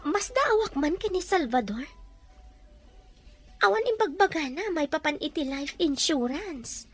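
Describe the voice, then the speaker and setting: Woman acting high-voiced, suspicious Filipino wife. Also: expressive, measured pace, soft background music. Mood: indignant. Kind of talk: Ilocano audio drama acting.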